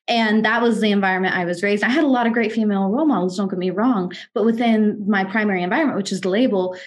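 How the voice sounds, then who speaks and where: A woman's voice: mid-range voice, quick pace. A twenty-year-old woman from Kansas City, a music artist and executive, telling her story in an interview with earnest, articulate emphasis. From Kansas City, United States. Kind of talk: candid conversational storytelling.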